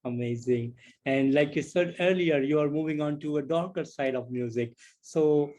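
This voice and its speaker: A man's voice: on the high side, moderate pace, darkish tone. A man conducting a casual music interview, encouraging the guest with short repeated affirmations.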